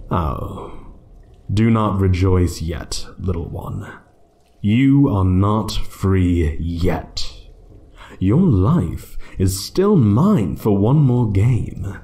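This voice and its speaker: A man voicing an ancient, playful yet menacing dragon in a fantasy ASMR roleplay, speaking theatrically and condescendingly, sometimes hushed. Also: sits low, heavily acted. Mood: ominous, taunting. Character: ancient male dragon, playful, menacing hoard guardian toying with intruder.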